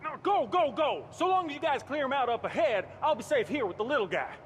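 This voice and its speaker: A man's voice: extremely high pitch, animated, brisk. An actor playing a gritty, stubborn wounded 1930s bootlegger in a video game, his voice strained. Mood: urgent, defiant. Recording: reverberant, faint outdoor noise.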